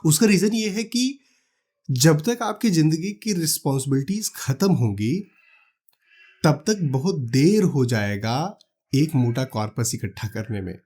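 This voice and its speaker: A man from India calmly explaining retirement money planning step by step, talking the listener through numbers and examples. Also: a little high, measured pace, breathy edge. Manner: calm, practical, walks through numbers step by step. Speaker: finance educator. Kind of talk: explanatory talk to camera.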